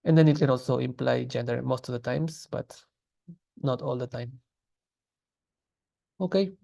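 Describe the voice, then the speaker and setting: A man teaching a language class, explaining grammar patiently in a relaxed way with frequent pauses and restarts. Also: medium pitch, vocal fry.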